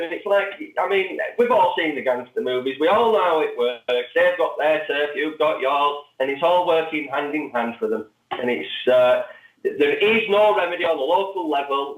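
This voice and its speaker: Male interview guest, higher register, distant and echoey remote-call sound, halting and repetitive explanatory delivery. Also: fairly bright tone. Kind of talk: animated discussion of legal cases. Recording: tinny phone-like line, distant and echoey.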